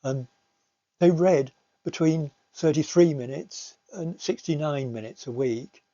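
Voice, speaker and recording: A middle-aged man giving a slide presentation as a teacher, explaining in a measured, somewhat hesitant way. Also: on the high side, warm tone. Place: recorded voice-over for slides. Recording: slightly dull, lo-fi recording.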